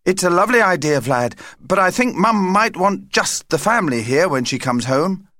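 A man voicing a sensible, gently insistent young girl in a children's storybook, earnest and polite. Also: high-pitched, sing-song, normal speed, no room sound, pitched above the performer's own voice, heavily acted. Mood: polite, hesitant.

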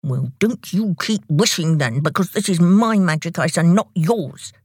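A woman voicing a bossy, sulky, quick-tempered young brother in a children's storybook. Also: mid-range voice, very animated, slightly rough, dry recording, studio quality, pitched above the performer's own voice, heavily acted.

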